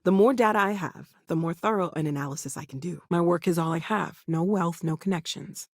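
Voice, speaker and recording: A woman's voice: fairly low pitch, fairly quick, dry recording. A brainy woman scientist in a video game, recorded close and dry. She is earnest and eager, with an anxious edge. She speaks thoughtfully, with small hesitations. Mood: focused, earnest.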